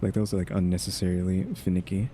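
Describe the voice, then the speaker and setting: A man's voice: low-pitched, level tone, fast, music in the background. A man giving casual, rambling game playthrough commentary, musing aloud with questioning asides. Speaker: gaming streamer.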